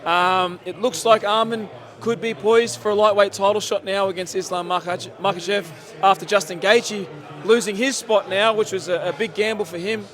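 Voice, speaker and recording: Male host, high voice, hoarse, rambling and excitable, casino sports bar interview. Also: moderate pace. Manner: excited, rambling, many fillers. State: losing his voice from yelling. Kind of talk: post-fight reaction interview.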